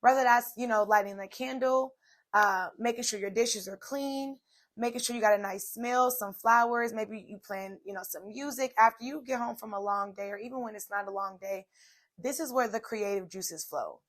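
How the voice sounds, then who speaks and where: Hoarse woman host, a touch high-pitched, motivational solo talk, casual and talking straight to her audience. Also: normal speed. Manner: chatty, direct to viewers, repeats "okay" and "y'all". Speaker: podcast host. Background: soft music playing.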